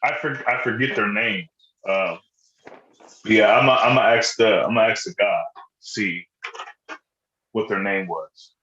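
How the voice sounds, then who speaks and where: A man's voice: lowish voice, unhurried, long pauses, bright, forceful tone, echoey room, highs cut off. A man from Las Vegas in a casual livestream chat, speaking in an easygoing, hesitant way with many fillers.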